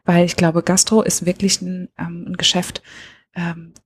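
A woman's voice: lowish voice, monotone, a little slow, a little hiss or hum. A woman interviewing someone in a casual way, thinking aloud and searching for her words as she goes.

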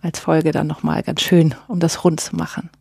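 A woman's voice: low-pitched, little pitch movement, moderate pace, gravelly, a little mellow, husky, no room sound. A woman co-hosting a podcast, chatting cheerfully and casually with filler words. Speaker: podcast co-host.

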